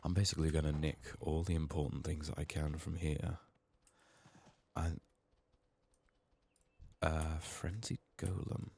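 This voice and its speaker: A man with a sick, strained voice murmuring soft-spoken ASMR gaming commentary, sometimes near a whisper, thinking aloud close to the mic. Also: level tone, measured pace, long pauses. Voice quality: husky, gravelly, very low. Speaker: game streamer making a playthrough.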